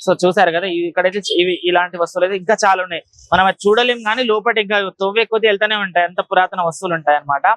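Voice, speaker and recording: A man's voice: sits high, rapid-fire, fairly bright tone, no echo. A man giving a casual walk-through vlog commentary, explaining things directly to viewers as he goes. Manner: explanatory, repetitive filler tags, addressing viewers directly. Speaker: vlogger, market tour host.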